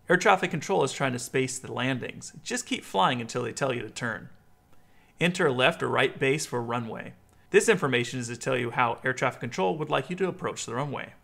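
American guy in his 30s doing a tutorial, bright voice w some fry. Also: medium pitch. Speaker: flight instruction video host. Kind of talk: instructional explainer to camera.

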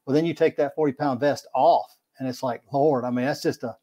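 A man's voice: mid-range voice, steady tone, brisk, dark tone. A middle-aged man, talking in a rambling, folksy way as a guest on a video call, often repeating words. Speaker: podcast guest, kayak angler. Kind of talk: casual video-call interview. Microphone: video call webcam mic.

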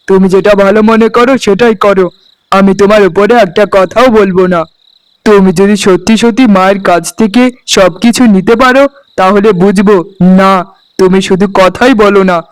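A man's voice: high-pitched, steady tone, normal speed, smooth, no echo, lightly acted, low-quality recording. A man voicing a meek, henpecked village husband in a cartoon, protesting but yielding. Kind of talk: cartoon voice acting.

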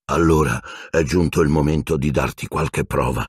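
A man voicing a desperate, haggard male escaped convict in a storybook reading, hoarse and urgently hissing. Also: extremely low pitch, moderate pace, dry recording, clean studio sound. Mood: grim urgency.

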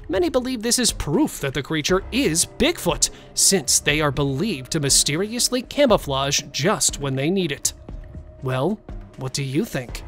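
Male narrator over soft music. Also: fairly high pitch. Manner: dramatic storytelling with rhetorical questions to the viewer. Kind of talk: scripted narration.

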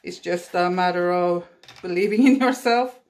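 A woman's voice: lowish voice, moderate pace, darkish tone. A woman with a Norwegian accent, a native Norwegian speaker, chatting casually through a watercolor painting demonstration while she paints, recorded on a phone, self-deprecating and laughing often. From Norway. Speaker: watercolor artist. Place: indoors at a painting table.

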